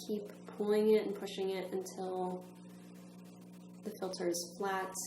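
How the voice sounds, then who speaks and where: A woman's voice: mid-range voice, flat delivery, measured pace. Woman instructor in an echoey room. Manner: methodical, step-by-step. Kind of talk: instructional demonstration narration. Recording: roomy echo, faint background hum.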